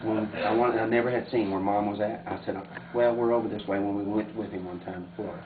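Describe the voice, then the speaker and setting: Male family storyteller, lowish voice, laughs easily, echoey lo-fi room sound. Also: fast talker. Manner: animated, often breaking into laughter. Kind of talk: informal storytelling and reading a letter aloud among family. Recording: muffled phone-like audio, echoey, distant, noisy room.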